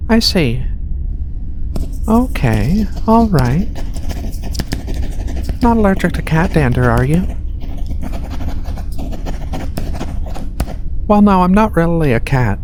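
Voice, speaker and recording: A woman voicing a patient alien feline researcher in a soft-spoken ASMR roleplay, with hesitant, reassuring questioning over a ship-like ambient rumble. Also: sing-song, unhurried, pauses often. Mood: gently playful. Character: female alien feline researcher, patient, clinical, gently playful. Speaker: medical examiner.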